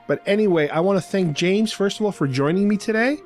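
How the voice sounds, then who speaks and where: A man's voice: sits high, brisk, darkish tone, soft background music. A middle-aged man hosting a podcast, talking casually and explaining things like an enthusiastic fan. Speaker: podcast host.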